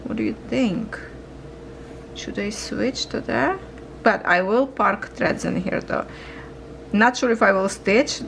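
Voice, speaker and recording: Slow gravelly-voiced woman stitching and chatting. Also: medium pitch, slight background noise, low-quality recording. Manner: slow, musing, talks to viewers while working. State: losing her voice, coughing. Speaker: cross-stitch vlogger. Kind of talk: casual chat while stitching.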